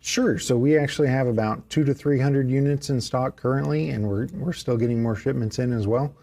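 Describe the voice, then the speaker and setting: A young man speaking as a product manager, answering questions in a relaxed, plainspoken way over a video call. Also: medium pitch, brisk. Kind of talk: casual interview answers.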